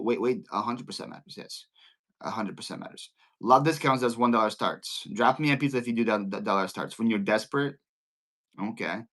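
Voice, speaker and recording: A man's voice: on the low side, a bit bright. A man with a Ukrainian Philadelphia accent hosting a casual live-selling stream, chatty and often repeating and restarting his words. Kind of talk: casual livestream teaching.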